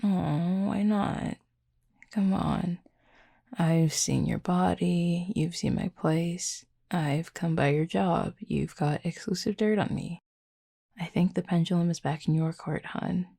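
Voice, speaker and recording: Woman voicing a husky, flirty werewolf, deeply gravelly. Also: warm tone, heavily acted. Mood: flirty teasing. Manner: dry, teasing, unhurried, wordy. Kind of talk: intimate audio roleplay, late-night chat.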